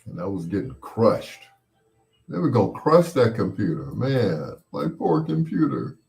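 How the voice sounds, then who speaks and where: Slow-talking man telling casual, playful stories. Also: fairly low pitch, very animated, creaky, darkish tone. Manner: playful, acts out quoted voices. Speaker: host of a personal storytelling show. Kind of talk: casual rambling personal storytelling.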